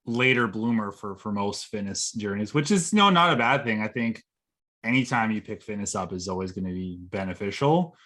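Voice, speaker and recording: A man's voice: lowish voice, animated, moderate pace, bright, forceful tone. A man hosting a podcast who welcomes his guest and asks friendly, loosely phrased questions. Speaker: podcast host. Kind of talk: podcast interview.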